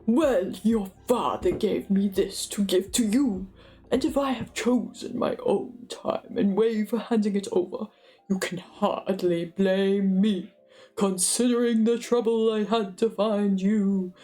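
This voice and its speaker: A stern old wizard voiced by a woman speaks with weighty emphasis and little patience. The tone is dark and soft-edged, a grave storybook figure. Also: mid-range voice, steady tone, slow pace, soft background music, close to an everyday voice. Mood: indignant, stern. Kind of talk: dramatic book reading.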